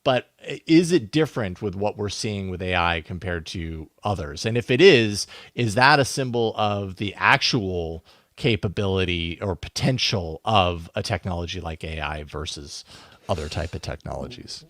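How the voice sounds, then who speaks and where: A man's voice: low voice, lively intonation, normal speed, fairly bright tone, no echo, clean studio sound. A man co-hosting a podcast, discussing casually and thinking aloud in a musing, tentative way. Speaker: podcast co-host.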